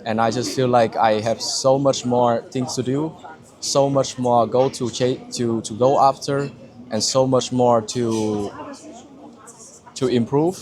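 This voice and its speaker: Halting young man. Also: mid-range voice, steady tone, a little mellow. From Vietnam. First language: Vietnamese. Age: 20s. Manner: halting, with frequent restarts and searching for words. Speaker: client giving a testimonial. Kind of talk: candid personal testimony.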